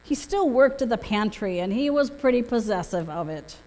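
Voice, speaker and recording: A woman's voice: on the high side, expressive, normal speed, darkish tone. A middle-aged woman pastor delivering a prepared sermon with storytelling from a church pulpit. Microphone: gooseneck pulpit microphone. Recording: echoing church hall, long reverb.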